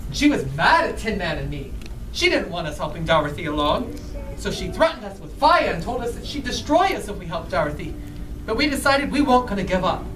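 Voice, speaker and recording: A woman's voice: medium pitch, close to an everyday voice. A woman playing a friendly, eager Scarecrow who tells a story live to children and asks them questions. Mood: earnest storytelling. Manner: animated, asks the listeners questions. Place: indoors in a bookstore. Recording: roomy live event, distant microphone.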